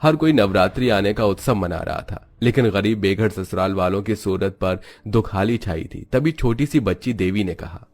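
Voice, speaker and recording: A man's voice: on the low side, animated, moderate pace, hardly any pauses, darkish tone. A man narrating a children's moral story in a scripted, dramatic storytelling style.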